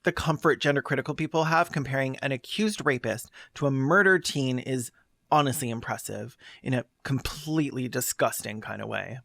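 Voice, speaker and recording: A video essayist delivers scathing commentary with a sarcastic, blunt edge. The pitch sits in the middle, and the recording is dry and close. The delivery moves between scripted narration and pointed asides. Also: normal speed, vocal fry, fairly bright tone, no room sound.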